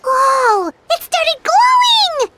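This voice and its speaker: A woman voicing a tiny, excitable, childlike fairy companion in an anime-style video game, squeaky and exclamatory. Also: drawn-out words, heavy background noise, exaggerated voice. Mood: amazed. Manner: exclamatory, refers to self in third person. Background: loud game music.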